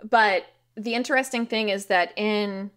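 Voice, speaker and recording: Female interview guest from rural Missouri, informal explanatory talk, slightly hesitant, average-pitched voice. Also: measured pace, fairly bright tone. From rural Missouri, United States. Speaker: podcast guest.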